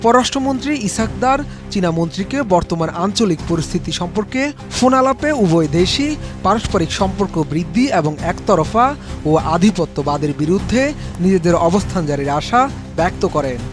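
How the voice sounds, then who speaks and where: A man's voice: high voice, animated, fairly quick. A man with a Bangladeshi accent, a news reporter reading a scripted voice-over report. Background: background music.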